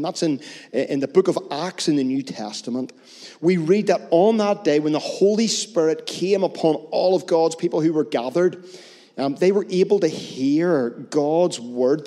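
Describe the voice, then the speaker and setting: Guy talking to the kids at church, warm low tone, lots of hall echo. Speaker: children's church leader. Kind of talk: addressing children in a church service. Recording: long church reverb.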